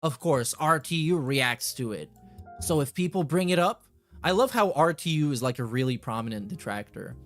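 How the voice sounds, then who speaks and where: A man's voice: a little high, lively intonation, moderate pace, a bit bright, faint music behind. A man hosting a reaction stream, reading messages aloud and commenting casually in a sardonic, dismissive, sweary way.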